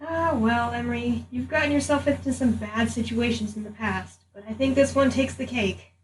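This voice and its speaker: A woman's voice: a little high, normal speed, close to an everyday voice. A woman voicing a flippant, self-interested magic item with a casual, sardonic manner. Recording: noisy, echoey room, distant mic.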